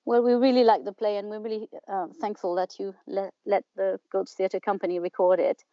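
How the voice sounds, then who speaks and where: Mellow woman hosting a podcast. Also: medium pitch, flat delivery, fairly quick, dry recording. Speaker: podcast host, interviewer. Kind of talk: scripted introduction and interview. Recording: dull, dry, band-limited sound.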